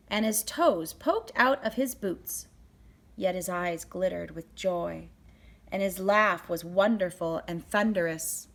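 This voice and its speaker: A woman reading a children's picture book aloud as narrator, with deliberate, expressive phrasing. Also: medium pitch. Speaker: storybook reader.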